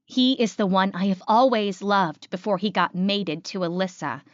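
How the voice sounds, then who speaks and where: A woman's voice: mid-range voice, moderate pace, dry recording, dull audio, close to an everyday voice. Woman reading a scheming she-wolf, dry sound. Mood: scheming, resentful. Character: scheming jealous female werewolf narrating her secret ambitions. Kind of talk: first-person audiobook narration.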